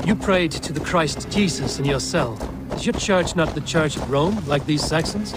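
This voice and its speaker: Headstrong Viking warrior, blunt and challenging game-drama read, music underneath. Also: female voice, sits low, expressive, normal speed. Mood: probing. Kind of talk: dramatic video game acting. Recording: music behind the voice.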